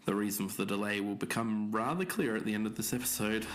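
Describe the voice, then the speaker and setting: A man's voice: fairly low pitch, quick pace, close to an everyday voice. A man playing an uneasy podcast co-host, speaking in conversational narration about an investigation. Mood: uneasy, candid.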